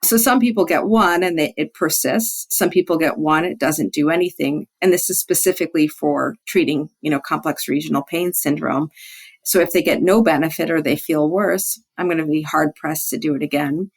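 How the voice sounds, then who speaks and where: A woman physician explaining things as an interview guest, informal and measured in how they qualify each point. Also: low-pitched, breathy edge. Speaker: physician guest, pain specialist. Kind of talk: conversational expert explanation.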